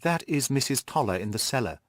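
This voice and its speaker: A man's voice: mid-range voice, animated, a little fast, no room sound, studio quality, natural voice. A man voicing an earnest, anxious but resourceful young woman client in an audiobook. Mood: matter-of-fact. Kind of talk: audiobook character dialogue.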